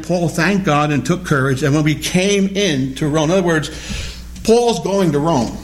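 A man teaching a Bible study class, animated and questioning, repeating key words as he explains them. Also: on the high side. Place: classroom or church hall with a small group.

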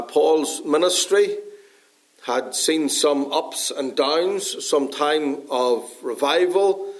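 A man with a Northern Irish accent preaches a sermon at a middle pitch for a man, earnest and unhurried. He pauses often and fills the gaps with small hesitations. A dry cough leaves his voice slightly hoarse. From Northern Ireland. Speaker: pastor.

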